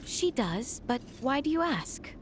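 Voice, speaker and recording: An actress playing a poised, inquisitive young noblewoman in a fantasy game, speaking in formal, measured phrases. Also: sits high, sing-song, background noise. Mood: puzzled, composed. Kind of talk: video game character acting.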